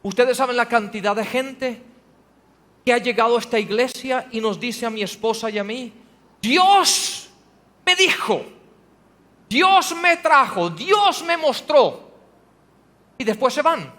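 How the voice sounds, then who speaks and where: A man's voice: very high voice, lively intonation, slow pace, pauses often, bright, forceful tone. A male pastor preaching a sermon in a church, pressing the congregation with rhetorical questions and rising to emphatic passages. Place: church hall. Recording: long hall reverb.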